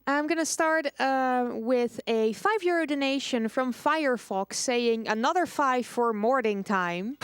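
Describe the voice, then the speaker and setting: A woman's voice: sits high, clear, resonant tone, dry recording, studio quality. A woman hosting a gaming marathon, announcing and reading out donation messages. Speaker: speedrun marathon host.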